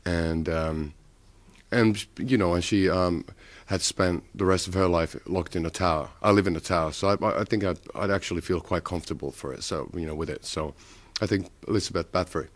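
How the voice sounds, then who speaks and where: Low-voiced man musing haltingly. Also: no room sound. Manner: halting, musing, many long pauses. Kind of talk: reflective interview talk.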